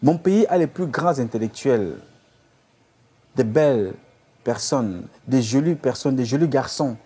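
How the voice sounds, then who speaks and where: Man, husky mellow voice, higher-pitched, exhorting, activist speech to followers. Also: animated, unhurried. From Cameroon. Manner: exhorting, repetitive rhetorical appeals. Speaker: activist leader. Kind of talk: impassioned address to followers.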